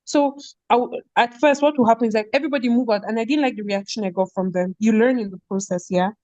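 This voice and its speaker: Young nigerian woman telling school stories on a podcast, animated, laughs a lot, dry slightly muffled mic. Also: a little high, fast, dry recording. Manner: animated storytelling, with frequent laughter and "do you get?". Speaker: podcast guest, former head girl. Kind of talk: casual conversational interview.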